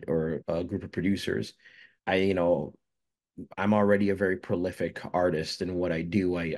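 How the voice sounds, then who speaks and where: A man's voice: deep voice, darkish tone. A young man from Jamaica, a filmmaker, answering interview questions casually with many fillers and restarts. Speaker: filmmaker guest.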